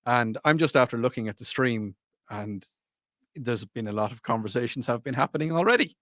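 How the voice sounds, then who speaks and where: Playful radio host. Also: male voice, mid-range voice, lively intonation, fairly quick, fairly bright tone, no echo, studio quality. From Ireland. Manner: playful, teasing, rambling. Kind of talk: casual radio chat. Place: radio studio. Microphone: studio microphone.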